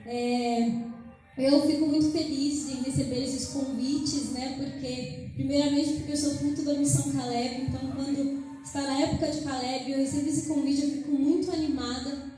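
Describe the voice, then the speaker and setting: A woman's voice: sits high, steady tone, moderate pace, mellow, soft background music. A woman with a São Paulo Brazilian accent giving an informal testimony as a guest preacher, conversational and grateful in manner. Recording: very echoey church hall.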